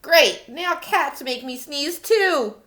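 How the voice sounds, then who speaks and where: A woman voicing an anxious, melodramatic storybook elephant with loud, emphatic, drawn-out worry. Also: high voice, lively intonation, exaggerated voice. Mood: exasperated. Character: anxious, melodramatic elephant prone to huge sneezes.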